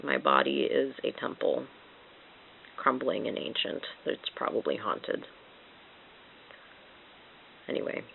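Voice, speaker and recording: A woman's voice: lively intonation, slow, gravelly, pauses often, slight background noise. A sick woman with a congested, sore-throated voice, chatting casually at home to an old handheld camcorder. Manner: rambling, self-mocking, talks to cats in high baby voice. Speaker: vlogger. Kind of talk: casual vlog chat. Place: home, indoors. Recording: tinny lo-fi camcorder audio.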